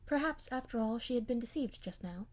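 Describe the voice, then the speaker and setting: A woman reading a classic novel aloud as an amateur audiobook narrator, with expressive scripted delivery. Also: on the high side, a little fast.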